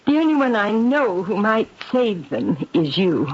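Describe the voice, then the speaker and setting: Guilt-ridden titled English lady, husky, expressive swoops, refined and earnest, vintage radio drama. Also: mid-range voice, measured pace. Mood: guilt-ridden, pleading. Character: anxious, guilt-ridden aristocratic English lady, occult dabbler. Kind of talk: 1970s radio drama acting. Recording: dull vintage radio broadcast.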